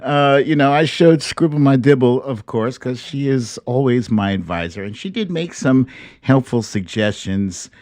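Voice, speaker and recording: A man's voice: medium pitch, animated, moderate pace, a little mellow, no room sound. An American man in his fifties hosting a casual live online show, playful and jokey, drawing out words theatrically. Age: 50s. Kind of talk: casual livestream chat.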